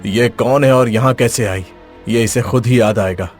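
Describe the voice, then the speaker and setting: A man voicing a stern, wise holy man in an animated fairy tale, solemn, commanding and instructive. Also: mid-range voice, moderate pace, faint music behind, close to an everyday voice. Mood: solemn counsel.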